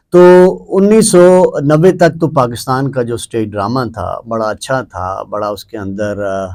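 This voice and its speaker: A man's voice: medium pitch, darkish tone. A man with a Pakistani accent hosting a talk show, setting out an issue and putting questions with emphatic repeated phrases. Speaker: host.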